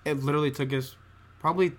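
A man's voice: a little high, fairly even intonation, fast, slight background noise. A young man from Georgia co-hosting a podcast, telling a story in a rambling, casual way, full of "like" and "literally". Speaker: podcast co-host. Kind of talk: casual chat.

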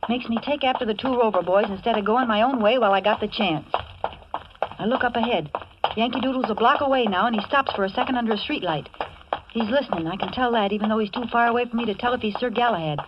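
A woman's voice: a little high, slightly rough, dry recording, dull audio. An actress playing a wisecracking, nervous young American working woman who narrates her own danger in slangy 1940s radio suspense style. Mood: uneasy, wry. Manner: slangy, hard-boiled, wry. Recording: noisy, band-limited old radio recording.

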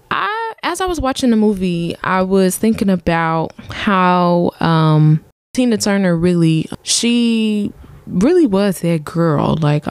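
A young woman chats loosely through her solo podcast, playful and full of slang. Her voice sits a little low and deep, and the recording is dry and close with no room sound. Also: darkish tone, clean tone, dry recording. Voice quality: voice sounding a bit deep lately. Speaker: podcast host. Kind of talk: casual solo podcast chat.

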